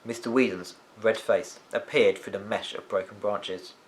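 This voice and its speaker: A man reading a children's story aloud as an expressive storyteller. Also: fairly low pitch, normal speed, low-quality recording. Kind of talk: animated children's audiobook narration.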